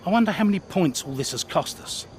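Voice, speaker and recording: Older male team boss, avuncular, theatrical, lively swings, game cutscene, clear outdoor noise. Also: sits high, fast talker. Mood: blunt, exasperated. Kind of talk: video game cutscene acting.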